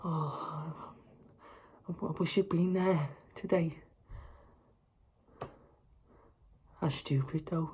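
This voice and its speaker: An actor performs a grieving, literal-minded young person in a stage monologue. The voice is a little husky, and the phrasing is halting, earnest and repetitive. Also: mid-range voice, steady tone, darkish tone. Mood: sad.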